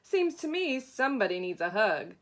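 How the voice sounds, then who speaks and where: A woman's voice: fairly high pitch, very animated, moderate pace. A woman voicing a laconic storybook cowboy with a folksy, teasing manner. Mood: wry. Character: laconic, teasing cowboy passing through the desert.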